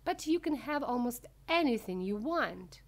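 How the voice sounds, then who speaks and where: Lady doing an eccentric candy inventor guy from a storybook, proud showman, high and swoopy. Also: lively intonation, measured pace, gravelly. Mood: grandly boastful. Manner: grandiose, emphatic showman.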